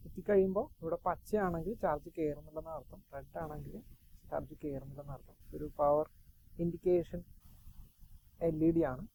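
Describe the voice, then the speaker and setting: A man giving a casual, halting unboxing demonstration, pausing to search for words. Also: a little high, steady tone, creaky, mellow. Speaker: product reviewer. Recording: noisy amateur recording with ambient sound.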